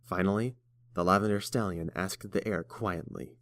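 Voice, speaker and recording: A man's voice: sits low, steady tone, normal speed, fairly bright tone, no room sound. A man reading scripted fiction aloud as the narrator of an audiobook.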